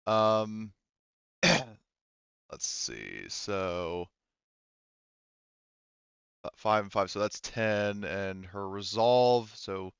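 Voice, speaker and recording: A man's voice: on the low side, animated, drawn-out words, creaky, long pauses, a bit bright, clear, resonant tone, no echo, lo-fi sound. Male game master, clean resonant tone, casual and profane, close dry sound. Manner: profane, informal. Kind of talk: casual tabletop game narration, online session.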